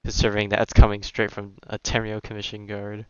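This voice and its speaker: A man with a low, even-toned voice commentates casually on a game stream. He is slangy and laid-back, heard close and dry with a slightly dull sound. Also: brisk, clear, resonant tone, no room sound.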